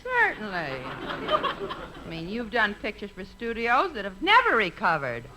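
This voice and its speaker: A wry, very lively companion. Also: female voice, mid-range voice, unhurried. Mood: wry, teasing. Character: wry woman companion needling the star. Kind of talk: 1950s radio comedy acting. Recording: noisy 1950s radio recording.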